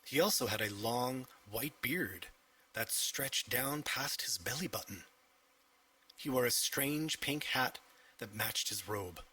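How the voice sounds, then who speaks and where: A man's voice: medium pitch, lively intonation, takes it slowly, pushed and bright, husky, far from the mic. A man narrating a scripted children's story as an audiobook reader.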